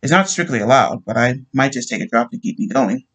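A man's voice: mid-range voice, expressive, brisk, fairly bright tone, husky, low-quality recording. A man voicing a tired, decent police sergeant who speaks plainly and kindly.

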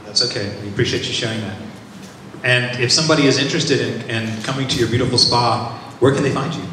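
A man's voice: mid-range voice, fast, bright, forceful tone. Upbeat male host in echoey hall. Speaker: event host. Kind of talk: hosting a panel, interviewing. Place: large event hall. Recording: echoey hall from far off, audible noise.

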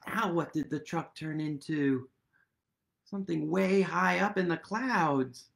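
A man in his thirties reading a picture book aloud to young children, gently and expressively, with pauses and questions. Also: on the high side, gravelly. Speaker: storytime reader.

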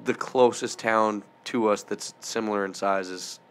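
A man's voice: lowish voice, fairly even intonation, unhurried, fairly bright tone, a little hiss or hum. Man, from Montana, podcast co-host, casual chat, teasing, laughs often.

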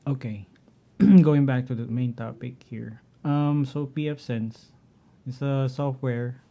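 A middle-aged man with a Filipino accent, talking through his home network setup in a halting way, often clearing his throat. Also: medium pitch, fairly even intonation, dark tone. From Philippines. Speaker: tech vlogger. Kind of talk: casual screen-share walkthrough.

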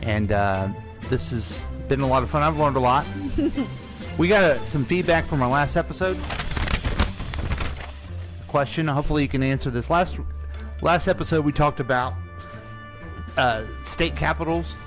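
A man's voice: fairly high pitch, moderate pace, pauses often, music in the background. A middle-aged man chatting casually as a podcast host who asks the questions, speaking into a close studio mic. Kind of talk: casual chat. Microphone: close studio microphone.

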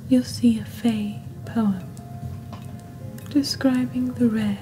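A woman softly presenting products in a hushed, breathy, gentle and deliberate ASMR style, like a scripted fantasy shopping channel. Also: a little high, fairly even intonation, faint music behind, low-quality recording. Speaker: host of a witch-themed shopping channel roleplay.

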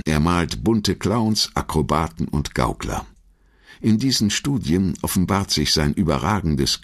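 A man reading scripted documentary narration in a measured, articulate storytelling manner. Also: rumbling low, gravelly.

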